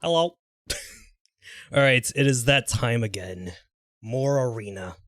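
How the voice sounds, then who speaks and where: A man's voice: medium pitch, slow pace, fairly bright tone, no echo, studio quality. A man hosting a live gaming stream, chatting informally and muttering little filler sounds to himself as he plays. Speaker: streamer hosting a let's play. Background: game music.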